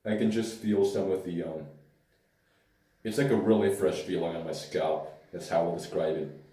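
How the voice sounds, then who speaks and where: A man with a hoarse, worn-out voice, casually reviewing a hair product in a relaxed, rambling way with hesitant fillers. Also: low voice, normal speed. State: hoarse, lost voice. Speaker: pomade reviewer. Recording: echoey room, mic at a distance.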